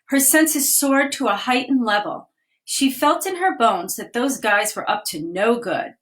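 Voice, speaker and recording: A woman, a children's author, reading a picture book aloud on a live video stream, with gentle read-aloud pacing and pauses to point out the pictures. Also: fairly high pitch, animated. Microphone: phone or webcam on a live video stream.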